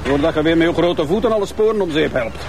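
A man's voice: fairly high pitch, expressive, fast talker, a little mellow, a little hiss or hum. A man with a Flemish accent playing a snide, bossy forensic investigator in a TV crime drama, sarcastic and needling. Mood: mocking. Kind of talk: naturalistic TV crime drama acting.

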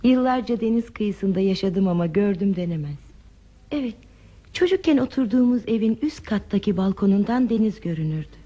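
An actress playing a lonely, reflective wanderer in a radio play, speaking in a measured, wistful, philosophical manner. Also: female voice, mid-range voice, expressive, dark tone, close to an everyday voice. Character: lonely, reflective wandering woman without belongings. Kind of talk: Turkish radio theater acting. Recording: dull, muffled radio drama recording.

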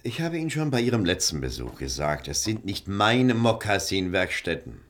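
Deep-voiced, animated, wary politician. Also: male voice, normal speed. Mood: guarded, stubborn. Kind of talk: German radio drama acting.

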